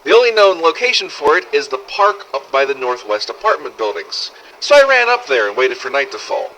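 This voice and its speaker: A man playing a weary, sardonic, foul-mouthed survivor of a zombie apocalypse, recording expressive first-person audio logs in a game-style drama. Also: a little high, brisk, full character voice, rough recording. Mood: wry recounting. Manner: sardonic, expressive, emphatic swearing.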